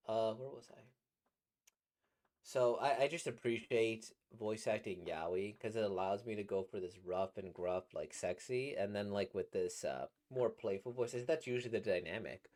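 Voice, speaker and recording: Slightly squeaky man rambling playfully. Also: a little mellow. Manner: rambling, playful, laughs at his own jokes. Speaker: voice actor reacting to comics. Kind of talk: casual reaction commentary.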